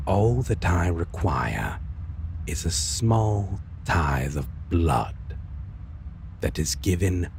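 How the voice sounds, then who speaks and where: A man playing a brooding, merciful yet menacing vampire lord in a dark fantasy audio roleplay, speaking formally and deliberately in half-whispered phrases. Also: deep voice, expressive, vocal fry, slight background noise, heavily acted. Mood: solemn, proud.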